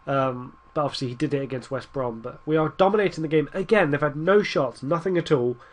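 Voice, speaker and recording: A man's voice: a little high, brisk. A young man with a stuffy nose from a cold, rambling through casual commentary over a football management game and urging his team on. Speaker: gaming YouTuber.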